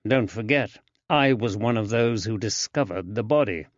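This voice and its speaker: Male reader playing a patient, shrewd detective, measured and even; storybook, dry close sound. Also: lowish voice, no room sound, natural voice. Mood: calm. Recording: dull lo-fi, dry.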